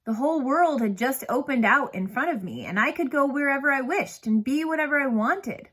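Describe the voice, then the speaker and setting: A woman narrating a novel aloud in an expressive storytelling manner, putting emphasis on key words. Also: high voice, fairly quick.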